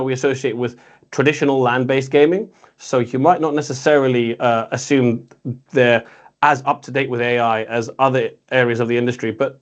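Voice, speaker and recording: A man speaks as co-host of a casual recap discussion. His pitch sits in the middle range for a man. He phrases things loosely, rambling a little and doubling back on words. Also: highs cut off. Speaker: co-host reporting on an industry conference.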